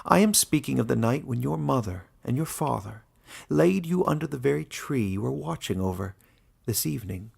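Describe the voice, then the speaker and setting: A man voicing an old warden of a fantasy storybook, kindly and playful, speaking with formal, measured authority. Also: mid-range voice, expressive, gravelly, darkish tone, husky, voice pushed up, full character voice. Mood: wistful, playful.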